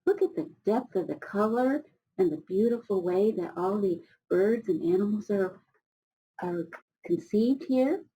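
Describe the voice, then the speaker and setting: A middle-aged woman reads to schoolchildren in an animated, swooping voice. She often steps out of the text to chat directly with her listeners, encouraging them and marvelling in an expressive way. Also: mid-range voice, a little slow, rough recording. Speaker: storybook reader for schoolchildren. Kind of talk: children's read-aloud with chatty asides.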